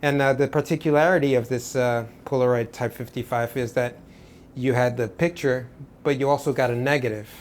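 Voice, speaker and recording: A man from Portugal, an artist guest, talking about his work in a relaxed, rambling way with many restarts. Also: mid-range voice, a little fast, slight background noise. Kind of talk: casual interview.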